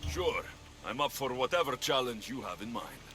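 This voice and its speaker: A man's voice: medium pitch, lively intonation, normal speed, bright, forceful tone, soft background music. An actor playing a cocky, sardonic male mercenary in a video game cutscene. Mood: wry. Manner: dry, sardonic, bargaining. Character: cocky, wry male mercenary in ancient Greece.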